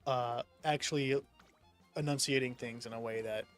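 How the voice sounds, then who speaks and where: A man's voice: medium pitch, steady tone, normal speed, faint music behind. A young man from the United States chatting casually while gaming, rambling with lots of fillers and casual swearing. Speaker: streamer.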